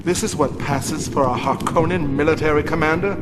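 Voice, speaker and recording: An actor playing the arrogant, jealous elder son of a tyrant in a video game, sneering and contemptuous. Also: male voice, a little high, normal speed, lightly acted. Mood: scornful. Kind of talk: theatrical video game villain acting. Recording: long reverb, noisy ambience.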